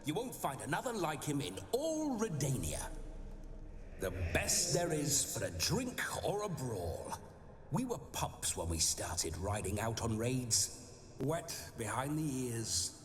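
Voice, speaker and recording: A man's voice: mid-range voice, wildly swooping pitch, slow pace, background noise. An actor playing the jovial, boastful ghost of a hard-drinking nobleman brawler in a video game. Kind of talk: video game cutscene acting. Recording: game audio with reverb and music.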